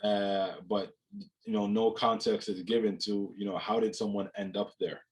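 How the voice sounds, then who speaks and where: Low-voiced young man on a video call. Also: flat delivery, moderate pace. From Jamaica. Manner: reflective, many "you know" fillers and repeated words. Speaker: guest, mental health speaker. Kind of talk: casual interview conversation. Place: home room, indoors.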